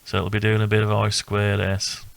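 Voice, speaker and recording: A man giving a casual, unscripted walkthrough of a hobby build, thinking aloud in a halting, rambling way. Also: fairly low pitch, completely flat delivery, creaky. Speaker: electronics hobbyist presenting own build.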